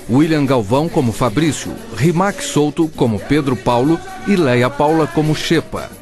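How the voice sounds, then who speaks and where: A man with a Brazilian accent reading scripted radio drama credits as the narrator. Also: on the high side. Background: prominent music.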